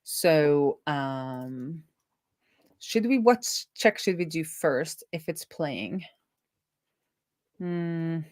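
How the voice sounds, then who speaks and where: Woman, low voice, dry close sound, casual coding host, think-aloud delivery, rephrases as she goes. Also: animated, extremely slow, pauses often, no echo. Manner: thinks out loud, trailing and rephrasing. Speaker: host, web developer live coding.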